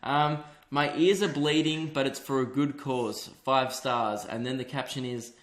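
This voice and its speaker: High-voiced joking man in an echoey room. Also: fairly even intonation, measured pace, a bit bright. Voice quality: high-pitched voice. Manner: joking, sweary, reads out listener reviews. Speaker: podcast host. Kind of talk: casual chat.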